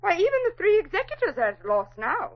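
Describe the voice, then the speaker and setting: An imperious old society dame in a 1940s radio drama, scolding in a high, swooping voice. The sound is muffled vintage radio. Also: female voice, sing-song, fast, full character voice. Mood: indignant, scolding. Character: scolding, overbearing older society lady.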